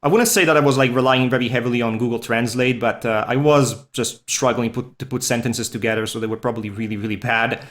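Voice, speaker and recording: Man, Swiss Italian accent, native Italian speaker, podcast guest, relaxed and rambling. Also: mid-range voice, quick pace, few pauses. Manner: easygoing, rambling, self-deprecating. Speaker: podcast guest, furry artist. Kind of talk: casual interview chat.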